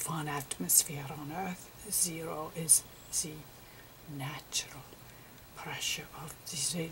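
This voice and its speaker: A woman voicing Einstein as a gentle, eccentric old physicist in a whispery, halting ASMR lecture with repetitive professorial asides. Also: low-pitched, exaggerated voice, poor audio.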